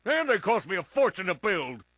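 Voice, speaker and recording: Blustering, swooping tycoon. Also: male voice, high-pitched, very animated, moderate pace, a bit bright, dry recording, heavily acted. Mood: angry, blustering. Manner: pompous, emphatic. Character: blustering, grumpy male tycoon and park owner.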